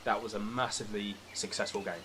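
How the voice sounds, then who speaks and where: A man's voice: lowish voice, steady tone, quick pace, a bit bright. A man speaking as a podcast guest in casual, opinionated chat, exasperated and sweary. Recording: clear background noise.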